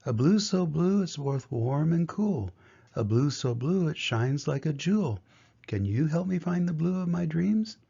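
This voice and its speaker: A dreamy little storybook boy, played by an older man, earnest and sing-song, pitched a little up. The tone stays soft and husky, and the recording is muffled. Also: lively intonation, a little slow, heavily acted. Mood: wistful, hopeful. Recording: dull, muffled lo-fi sound.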